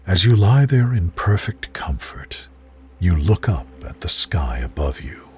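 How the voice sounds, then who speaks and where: A man reading a scripted guided sleep visualization in a soothing, gentle, measured way, as a narrator of bedtime relaxation stories. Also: deep voice, sing-song, husky. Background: music behind the voice.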